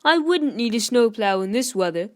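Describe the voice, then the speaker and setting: A woman's voice: on the high side, expressive, normal speed, pitched above the performer's own voice, lightly acted. A woman voicing a teasing, boastful big male steam engine in a children's storybook, exclaiming as it brags. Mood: mocking. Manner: exclaiming, bragging.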